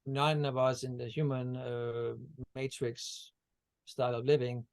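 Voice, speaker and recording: A man's voice: medium pitch, level tone, unhurried, darkish tone, clear, resonant tone. A man from Denmark, a guest on a video-call interview, talking about energy healing in a meandering way with many fillers and restarts. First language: Danish.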